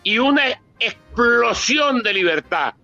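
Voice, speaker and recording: A Venezuelan man in his seventies speaks publicly in a high, forceful voice. He leans on repeated phrases for emphasis, as an author addressing an event. The recording is somewhat muffled and lo-fi. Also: expressive, slow pace, faint music behind. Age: 70s. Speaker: author and politician presenting his book. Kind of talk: impromptu public talk on history. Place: theatre book presentation.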